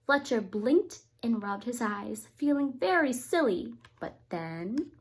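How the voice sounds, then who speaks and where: High-voiced woman reading storytime to children. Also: takes it slowly, a little hiss or hum. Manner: expressive, addresses children directly. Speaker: teacher reading a picture book to young children. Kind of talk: read-aloud storytime with asides to children.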